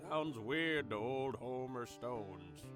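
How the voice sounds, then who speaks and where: A man's voice: mid-range voice, lively intonation, slow pace, music in the background. A man playing a smug, corrupt small-town lawman with a folksy, taunting drawl in an audio drama. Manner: drawling, folksy, taunting, calls himself by name.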